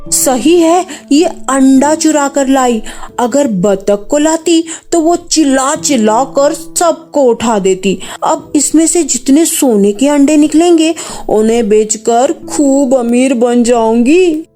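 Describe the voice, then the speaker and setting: Lady doing a greedy nosy cartoon village mom, really high voice, slow and scheming, music under it. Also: lively intonation, dark tone. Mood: gloating, greedy. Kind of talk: Hindi cartoon story acting.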